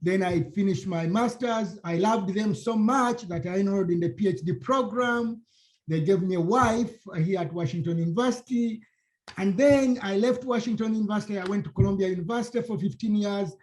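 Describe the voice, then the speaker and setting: A man's voice: sits high, a little fast, a little mellow. A middle-aged man from Uganda with a Ugandan accent, introducing himself as a faculty panelist on a video call, with anecdotes and humor. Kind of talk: panel discussion, personal introduction.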